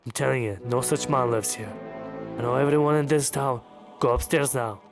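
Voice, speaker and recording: A man acts out a village innkeeper from a fantasy game, gruff and dismissive. The voice is gravelly and mid-pitched, the talk brusque and folksy, with music and rain underneath. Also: moderate pace, close to an everyday voice. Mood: brusque, disbelieving. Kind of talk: acted fantasy game dialogue.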